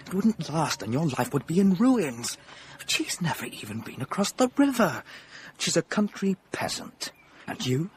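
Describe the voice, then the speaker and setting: An actor playing a worldly, pragmatic young painter in a radio drama, sardonic and persuasive with a trace of envy. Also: male voice, medium pitch, slight background noise, natural voice. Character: worldly, pragmatic young male painter friend, wry and envious.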